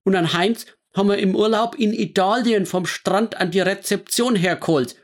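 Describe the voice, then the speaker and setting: A man voicing an agitated elderly woman, talking fast on the telephone. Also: medium pitch, voice pushed up, close to an everyday voice. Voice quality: raised excited voice, dialect-heavy.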